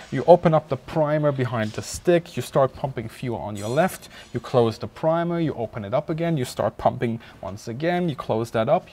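A man in his thirties giving a relaxed, explanatory walkthrough of an aircraft cockpit, with frequent filler sounds, recorded close in a cockpit inside a hangar. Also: mid-range voice. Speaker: aviation history presenter.

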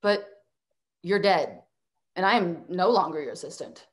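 Lowish, deadpan, skeptical former assistant. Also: female voice, a little slow. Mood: defiant. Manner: deadpan, incredulous. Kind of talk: virtual table read, comic acting. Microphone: video call.

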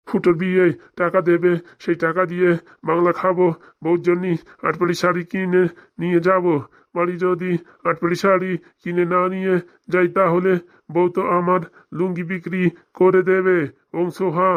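Man playing a sing-song, sly village priest, flat-toned. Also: sits high, lo-fi sound, natural voice. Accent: Bengali, rural dialect. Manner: sing-song mock-solemn chanting. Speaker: wedding priest. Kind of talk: comic cartoon voice acting with mock mantra chanting.

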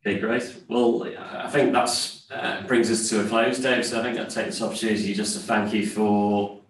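A man moderates a webinar Q&A, reading out questions with hesitant fillers. His voice is low and flat. He sits away from the microphone, so the video-call audio sounds roomy and distant. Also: moderate pace.

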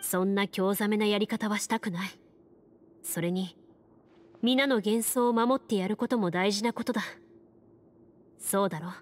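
A woman's voice: mid-range voice, normal speed, pauses often, a little hiss or hum, lightly acted. A woman acting a stern, composed swordswoman. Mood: calm, resolute. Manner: firm, blunt, commanding, plain-spoken. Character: stern, composed young woman, a principled champion duelist. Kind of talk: Japanese anime game voice acting. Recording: music behind the voice. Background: game music.

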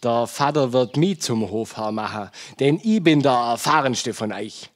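A man's voice: mid-range voice, animated, measured pace, close to an everyday voice. A man playing a confident, boastful young farmer's son in a fairy-tale audio story.

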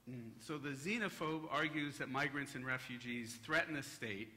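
A middle-aged man, a native English speaker, giving a relaxed conference talk at a podium with frequent fillers. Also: medium pitch, moderate pace. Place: hotel conference hall. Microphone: podium microphone.

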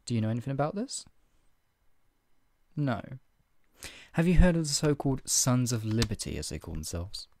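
A man's voice: medium pitch, expressive, normal speed, pauses often, darkish tone, slight background noise, close to an everyday voice. A man playing a stern, menacing redcoat soldier in a scripted roleplay interrogation, with a clipped, authoritative, condescendingly polite manner. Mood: firm, warning. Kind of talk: ASMR roleplay, one-sided scripted dialogue.

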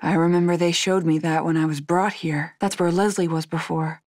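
A woman's voice: lowish voice, fairly even intonation, moderate pace, darkish tone, dry recording, clean studio sound, natural voice. A young woman voicing a guarded, determined female agent in a horror video game, serious and terse. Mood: tense, brooding.

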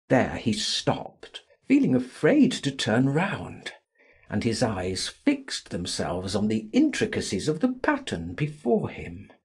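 Husky male narrator, highly animated. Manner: theatrical, deliberate. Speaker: audiobook narrator. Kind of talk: dramatic scripted narration of a classic novel.

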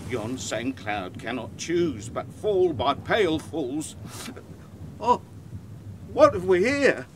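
A man acts a jittery clown castaway in a Shakespearean comedy for audio. The voice is high and very animated, theatrical, yet takes its time. Stormy background noise is clearly heard. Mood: nervous, puzzled. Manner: exclamatory, musing aloud, theatrical. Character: jittery, curious, cowardly male clown castaway. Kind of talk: Shakespearean comic audio play acting.

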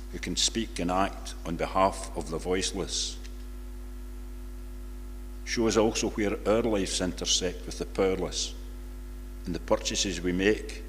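Low-voiced older man praying in church. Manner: solemn, measured phrasing. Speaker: congregation member leading prayers. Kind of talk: read public prayer in a church service. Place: large church, at a lectern. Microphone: lectern microphone. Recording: reverberant church hall, faint background noise.

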